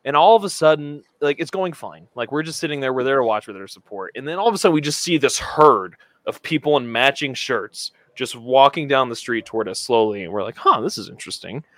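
A man co-hosts a casual podcast and tells a long, rambling story full of "like." His voice is bright and lively, rising and falling a lot. The mic sounds dry and close. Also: medium pitch, quick pace, few pauses, dry recording. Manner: rambling, lots of "like", animated anecdotes. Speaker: podcast co-host.

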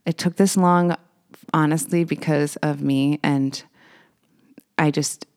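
A singer-songwriter woman, a little raspy today, chatting casually between songs and telling rambling stories with fillers. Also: low voice, steady tone, takes it slowly. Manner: rambling, warm storytelling with fillers.

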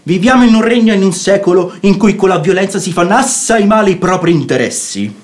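Radio drama, sensible counsellor figure, theatrical lecturing, highish voice, very animated intonation. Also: male voice, fast talker. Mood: exasperated, lecturing. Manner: emphatic, lecturing. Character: sensible, reproving relative counselling moderation.

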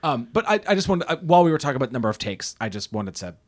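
A man co-hosting a podcast, talking about a film in casual chat with a halting, enthusiastic manner and many restarts. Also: medium pitch, sing-song. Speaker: podcast co-host.

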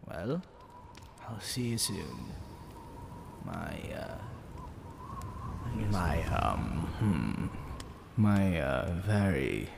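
Seductive demon king, performed by a man, gravelly, wildly swooping delivery, theatrical drawl, heavy reverb. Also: sits low, big pitch swings, extremely slow, pauses often, pitched below the performer's own voice, exaggerated voice. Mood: flustered, teasing. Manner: drawling, theatrical, with whispered asides. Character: teasing, seductive, smug male demon king. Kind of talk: ASMR roleplay. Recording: long reverb, faint background noise.

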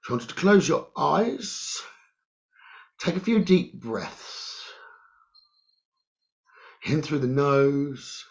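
A man leading a guided meditation in short, halting phrases with long pauses and a creaky voice. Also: fairly high pitch, sing-song. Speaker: guided meditation leader, psychic medium. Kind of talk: slow guided meditation narration. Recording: dull, lo-fi sound.

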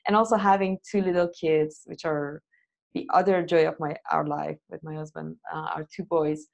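A woman with a Persian accent and Persian as her first language, chatting in an interview in a halting, hesitant way. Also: sits low, steady tone, gravelly, highs cut off. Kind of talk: casual interview conversation.

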